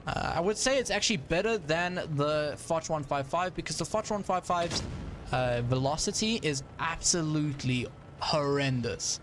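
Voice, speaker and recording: A man's voice: fairly high pitch, normal speed, noisy background. A young man with a South African accent, casually commentating a live stream of his tank game and greeting viewers in chat, with plenty of ums and restarts. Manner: casual, thinks aloud, many ums and restarts.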